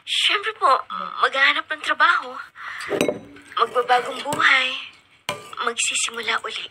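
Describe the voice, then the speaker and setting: Tearful, high-voiced young Filipino mother. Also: measured pace, noisy background. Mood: meek, resigned. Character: tearful young unwed student mother, polite, longing for her baby. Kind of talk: Filipino radio drama acting.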